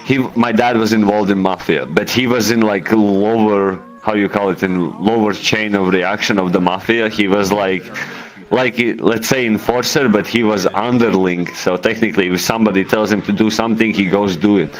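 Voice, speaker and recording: A young man with a Latvian accent tells a personal story in casual chat, halting as he searches for words and swearing freely. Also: on the low side, fairly even intonation, poor audio.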